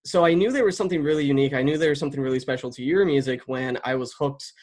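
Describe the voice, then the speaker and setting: A man's voice: medium pitch, rapid-fire, a little mellow. A man interviewing a guest in a casual, informal way, often chuckling as he talks. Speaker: interviewer, content creator.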